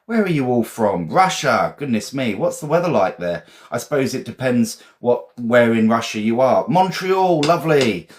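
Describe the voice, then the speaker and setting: A man's voice: mid-range voice, sing-song, moderate pace, hardly any pauses. A man from England with an English accent, teaching a casual live vocabulary lesson in a chatty, encouraging, informal way and often repeating phrases.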